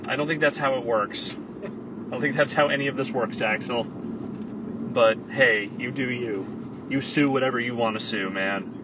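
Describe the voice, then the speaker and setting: A man's voice: medium pitch, moderate pace. A man chatting in a car with dry, sarcastic remarks. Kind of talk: casual chat in a car. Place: inside a car. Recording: distant mic, loud car noise. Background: car and air conditioning noise.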